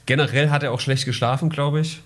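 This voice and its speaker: A man's voice: mid-range voice, steady tone, quick pace. A man hosting a casual podcast, chatting with a joking, ironic tone. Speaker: podcast host.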